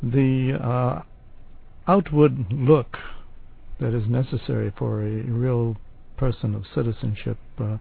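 Male panelist, slow and halting, gravelly, tinny lo-fi sound. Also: medium pitch, fairly even intonation. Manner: slow, hesitant, many fillers. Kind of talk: discussion.

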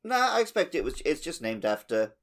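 A man's voice: a little high, very animated, brisk. A man co-hosting a podcast, talking casually about a video game. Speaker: podcast co-host.

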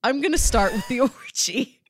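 A woman with a slightly high, very animated voice chats casually as a podcast co-host. Her pitch moves around freely, and the sound is dry and close. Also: moderate pace, no echo. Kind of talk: casual podcast chat about pop culture.

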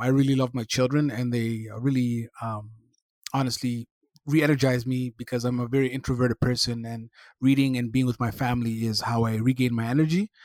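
A man's voice: mid-range voice, dry recording. A middle-aged man from Somalia co-hosts a podcast conversation. He talks in a friendly, easygoing way and often reflects on his own life. The sound is dry and close to the mic. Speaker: podcast co-host.

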